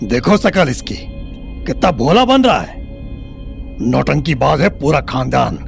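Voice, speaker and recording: A man playing an abusive, furious middle-aged family patriarch in an audio drama, insulting and threatening with a gravelly voice. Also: medium pitch, very animated, a little slow, exaggerated voice. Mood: furious, contemptuous. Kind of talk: Hindi audio drama acting. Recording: music bed under the voice.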